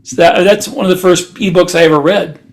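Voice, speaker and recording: A man co-hosting a podcast, talking casually and haltingly as he thinks aloud. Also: high-pitched, steady tone, vocal fry. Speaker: podcast co-host and author. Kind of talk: casual podcast discussion of publishing.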